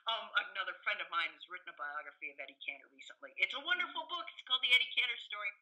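A woman's voice: mid-range voice, expressive, very fast, bright, forceful tone, poor audio. A middle-aged or older woman talking as an expert guest in a conversational interview, telling anecdotes in a chatty, opinionated way. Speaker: guest expert, professor and author.

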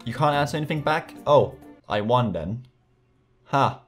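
A man's voice: mid-range voice, lively intonation, unhurried, faint music behind. Sarcastic young Danish man vlogging. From Denmark. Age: young adult. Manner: sarcastic, laughing, rambling with restarts. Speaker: YouTuber. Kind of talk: casual vlog reading comments. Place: small bedroom, indoors. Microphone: USB desk mic.

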